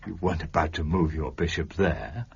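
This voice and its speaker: An actor playing an urbane, self-assured gentleman detective with dry wit and teasing politeness in an audio drama. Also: rumbling low, vocal fry, breathy edge, dull audio, exaggerated voice. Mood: amused. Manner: unhurried, teasing, needling politeness.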